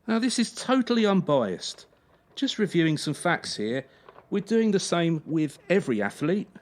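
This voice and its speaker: An actor playing a cold, patronising gymnastics coach in a radio drama, officious and insinuating. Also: male voice, high-pitched, big pitch swings, normal speed. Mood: falsely concerned.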